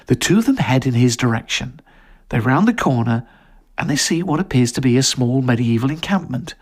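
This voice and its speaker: A man's voice: mid-range voice, animated, brisk. A man reading a short story aloud as scripted narration.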